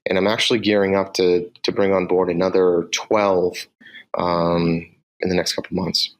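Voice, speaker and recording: Low-voiced Boston man explaining sales steps. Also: flat delivery, low-quality recording. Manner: direct, measured, explains step by step. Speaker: sales team manager. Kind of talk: podcast interview answers.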